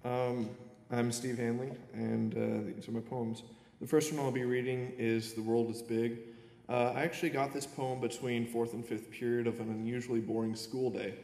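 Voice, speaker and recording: A man's voice: mid-range voice, level tone, normal speed, vocal fry. Young man reading in a reverberant hall. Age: young adult. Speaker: poet reading own poems. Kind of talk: live poetry reading with informal introductions. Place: indoor auditorium at a podium. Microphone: gooseneck podium microphone. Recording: echoey auditorium, long reverb, background noise.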